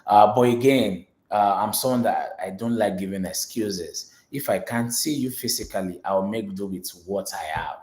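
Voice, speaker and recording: A man's voice: on the low side, moderate pace, few pauses, darkish tone. A man with a Nigerian accent, Yoruba his first language, answering interview questions earnestly, restarting phrases and filling with "you know". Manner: earnest, repeats words, many "you know" fillers. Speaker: guest speaker. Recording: roomy echo.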